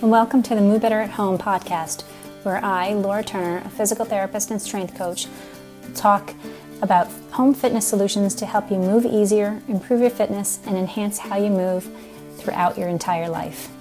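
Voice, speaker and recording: A woman's voice: mid-range voice, fairly even intonation, moderate pace, over music. A woman hosting a fitness podcast, reading an upbeat scripted intro and then welcoming her guest. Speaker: podcast host, physical therapist.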